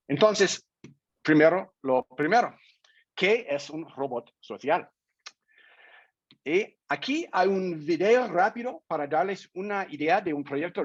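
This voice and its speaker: A man speaking Spanish as a non-native speaker, giving a careful, deliberate seminar presentation over a video call with some hesitation. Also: fairly high pitch, slightly rough, dry recording. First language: not Spanish. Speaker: invited speaker. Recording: dull lo-fi online call audio.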